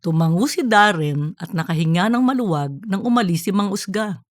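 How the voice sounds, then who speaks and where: A woman's voice: medium pitch, lively intonation, moderate pace, no echo, studio quality. A woman narrating a scripted comic audiobook story.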